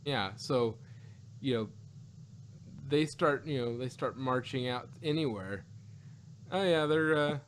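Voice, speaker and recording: A man reviewing in a casual podcast conversation, talking in a halting, animated, argumentative way and often repeating himself. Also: mid-range voice, a little hiss or hum. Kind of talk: casual review chat about an audio drama.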